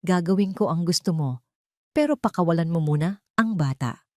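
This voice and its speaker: A woman's voice: on the low side, animated, normal speed, a little mellow, dry recording, studio quality, lightly acted. A woman voicing a desperate but steely mother in an audio drama, pleading with control. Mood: calm pleading.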